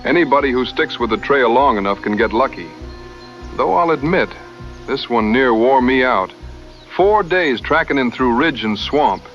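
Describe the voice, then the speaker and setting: An actor playing an easygoing, modest frontier hunter in a radio drama, with folksy drawling talk. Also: male voice, medium pitch, animated, normal speed, fairly bright tone, lo-fi sound, faint music behind.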